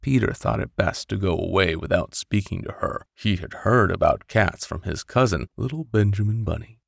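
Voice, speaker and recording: A man's voice: low voice, normal speed, vocal fry, breathy edge, no room sound. A man narrating a bedtime story expressively and theatrically, close on a studio microphone. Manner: theatrical, with whispers and sound-effect voices. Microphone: close studio condenser microphone.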